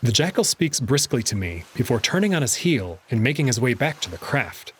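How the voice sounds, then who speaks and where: A man narrating and commenting on a visual novel in an expressive storytelling style. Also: medium pitch, brisk, a little hiss or hum. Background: music under the voice.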